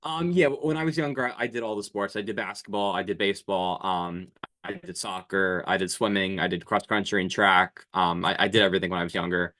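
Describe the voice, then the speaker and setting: A man's voice: low-pitched, steady tone, brisk, sharp tone. A man in his twenties, a podcast guest chatting casually, hesitant with lots of fillers and restarts. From New Jersey. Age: 20s. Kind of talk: casual chat.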